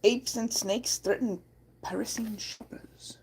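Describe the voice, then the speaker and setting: A woman's voice: on the high side, fairly even intonation, slow pace, a little mellow, slight background noise, poor audio. A woman hosting a casual livestream, playful, often laughing and reading chat aloud. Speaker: livestream host.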